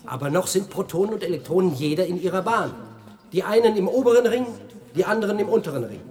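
An actor playing a showy physics guide, lecturing a tour group in a declamatory, explanatory way in a radio play. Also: male voice, sits high, lively intonation, fast, a little mellow.

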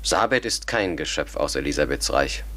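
An actor playing a sceptical, methodical educated man who reasons formally and deliberately in a German radio drama. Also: a bass voice, expressive, pushed and bright, exaggerated voice.

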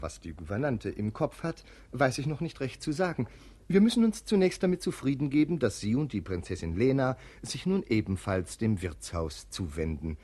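A man narrating a radio play, wry and chatty, addressing listeners between scenes. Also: medium pitch. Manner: wry, chatty storyteller with lively stage directions.

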